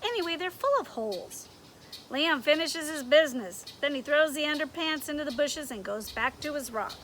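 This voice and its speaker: A woman's voice: high voice, expressive, moderate pace, a bit bright, slight background noise. A woman reading a picture book aloud outdoors for children, expressively, often stopping to ask them questions. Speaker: story reader for young children.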